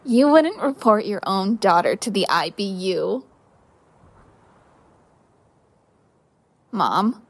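A woman's voice: medium pitch, big pitch swings, unhurried. A woman acting in an audio drama as a defiant, wounded young daughter, confrontational and emotionally raw. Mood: accusing, anxious.

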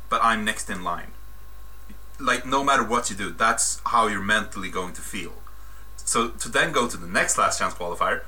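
Man from Sweden, bright, forceful voice. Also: lowish voice. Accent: mixed English and Swedish. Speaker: podcast guest, tournament reporter. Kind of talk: casual podcast chat.

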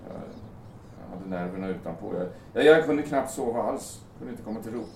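A roomy, slightly distant recording with faint noise carries a male actor playing a grieving man in an audio drama. He is agitated and sarcastic, swears, and speaks haltingly, as a bitter man who blames himself. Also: on the low side, expressive, vocal fry, darkish tone. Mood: weary, self-blaming. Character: grieving, bitter young bridegroom blaming himself for fatal crash. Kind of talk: naturalistic radio drama dialogue.

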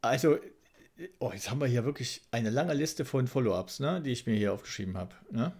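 A man around his forties co-hosting a casual podcast, halting and repeating himself, often tagging on little questions. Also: medium pitch, expressive, a little mellow, slightly rough. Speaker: podcast co-host.

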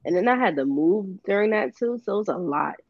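A woman from New Jersey co-hosting a podcast, hoarse from a recent illness, talking in an easygoing, slangy way. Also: mid-range voice, animated, a little fast, no room sound, dull audio. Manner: slangy, easygoing, laughs often. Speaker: podcast co-host. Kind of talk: casual chat.

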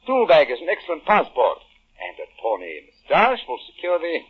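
An actor playing a brisk, cheerfully theatrical male detective in a 1940s radio drama, crisp and musing aloud. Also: fairly high pitch, wildly swooping pitch, full character voice. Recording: dull old radio broadcast.

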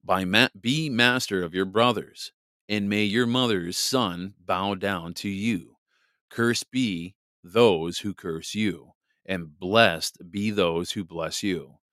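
A man reading the part of an old blind father blessing his son, slow and solemn. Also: low-pitched, fairly bright tone, dry recording, clean studio sound.

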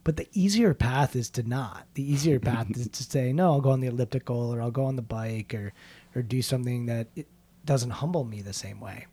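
A male interview host with a mellow, slightly husky voice at an average pitch. He asks curious, probing questions in a relaxed, reflective way. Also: fairly quick.

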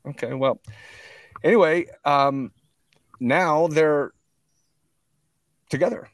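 A man in a heated conversation, speaking earnestly and defensively, often halting and repeating himself as he makes his point. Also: fairly high pitch, no room sound. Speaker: podcast guest. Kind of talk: heated conversational storytelling and debate.

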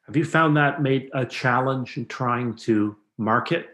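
An echoey room surrounds this male interviewer's voice. He puts his questions casually and conversationally, at a middle pitch for a man. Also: a little slow, fairly bright tone.

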